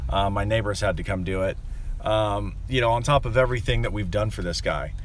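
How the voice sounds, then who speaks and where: A man's voice: lowish voice, fairly quick, slight background noise. A young man who runs a landscaping business, rambling with lots of fillers as he vents to the camera. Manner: rambling and aggrieved, many fillers. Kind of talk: casual monologue to camera.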